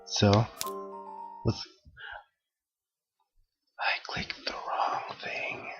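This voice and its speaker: A man hosting a horror game playthrough, gravelly and a bit hoarse from allergies, joking and talking back to the game. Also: drawn-out words, fairly bright tone, in a whisper. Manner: jokey, talks to the game, gasps at scares. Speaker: gaming channel host. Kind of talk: casual horror game commentary. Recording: dull lo-fi audio with game music. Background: game music.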